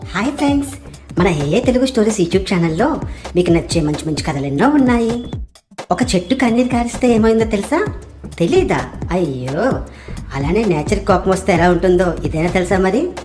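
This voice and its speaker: A woman's voice: mid-range voice, lively intonation, normal speed. A woman delivering a scripted promo straight to viewers. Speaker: channel promo presenter. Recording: music behind the voice. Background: music.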